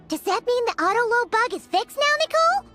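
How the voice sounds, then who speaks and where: Tiny, extremely squeaky fairy companion. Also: female voice, very animated, normal speed, over music. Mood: excited, curious. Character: tiny, cute, chirpy childlike fairy companion. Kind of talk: anime game voice acting.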